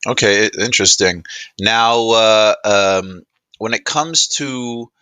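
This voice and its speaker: Bright-voiced man presenting, fairly low pitch. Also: slow pace, clear, resonant tone, no room sound. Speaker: presenter demonstrating a voice assistant. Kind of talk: casual spoken product demo.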